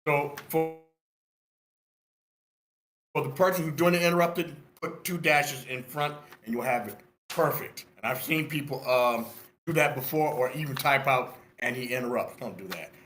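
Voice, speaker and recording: A man's voice: medium pitch, moderate pace, fairly bright tone. An older man giving casual, blunt and enthusiastic spoken critique on an online meeting. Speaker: script feedback participant. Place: indoors on an online meeting call. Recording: roomy echoing online meeting audio.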